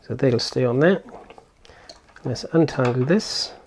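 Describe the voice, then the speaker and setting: A man's voice: mid-range voice, slow pace, a little mellow, a little hiss or hum. A man giving a casual, unscripted product unboxing and setup walkthrough, thinking aloud as he goes. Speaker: video host.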